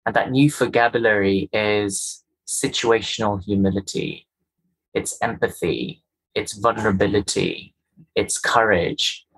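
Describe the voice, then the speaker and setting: Husky-voiced man, earnest and emphatic. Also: fairly low pitch, steady tone, a little slow, fairly bright tone, rough recording. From Kenya. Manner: earnest, emphatic, builds points in lists of rhetorical questions. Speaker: guest, culture and inclusion executive. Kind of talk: conversational interview, explanatory.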